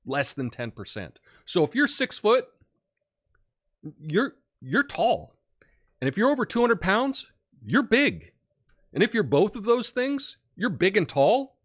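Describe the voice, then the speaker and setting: A man hosting a political commentary show, who talks in an opinionated, rambling way with many fillers and has a big booming voice. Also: sing-song, unhurried, long pauses, a bit bright. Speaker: podcast host.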